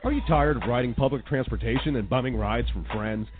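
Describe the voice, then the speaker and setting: A man's voice: a little fast, a crowd in the background, close to an everyday voice. A man playing an upbeat, earnest commercial announcer in a scripted parody radio ad. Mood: upbeat selling. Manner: earnest salesman pitch. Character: upbeat commercial announcer for dodgy driving school. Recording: tinny lo-fi radio, faint music.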